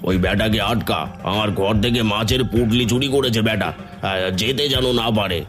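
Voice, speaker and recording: A man's voice: fairly low pitch, moderate pace, close to an everyday voice. A man voicing a domineering, vengeful moneylender in a cartoon, bullying and commanding over music. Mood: alarmed, commanding. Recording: music under the voice.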